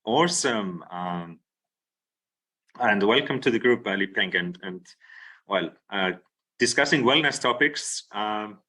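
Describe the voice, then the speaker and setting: A man hosting a video call breakout session, relaxed and full of fillers. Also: lowish voice, measured pace, pauses often, rough recording. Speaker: session host. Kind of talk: casual video call moderation.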